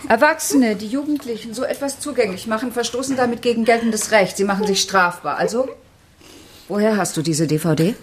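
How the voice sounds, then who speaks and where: An actress playing a harried, indignant forty-year-old schoolteacher in a radio crime drama, articulate and easily flustered. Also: female voice, fairly high pitch, brisk, natural voice. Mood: stern, indignant.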